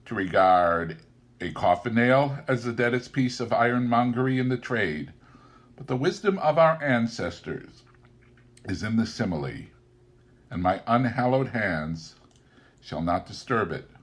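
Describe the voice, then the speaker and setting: A man reading a classic story aloud as a narrator, with deliberate, theatrical and emphatic phrasing. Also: lowish voice. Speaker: audiobook narrator.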